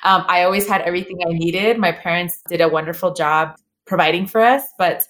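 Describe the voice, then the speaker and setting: A woman in her thirties, from El Salvador and raised in Los Angeles, talks through her story as an interview guest. Her voice sits a little low, and she pauses, restarts and uses fillers as she thinks aloud. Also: a bit bright. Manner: reflective, earnest, with frequent fillers and restarts. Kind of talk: conversational interview.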